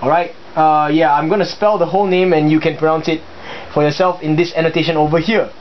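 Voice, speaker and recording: A young man with a Singaporean accent and a slightly high voice talks through his hobby gear in a casual, chatty way, often pausing on fillers as he explains. The recording sounds dull and lo-fi, with a faint hiss underneath. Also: steady tone. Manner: chatty, hesitant with many fillers. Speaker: hobbyist video host. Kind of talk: casual show-and-tell explanation.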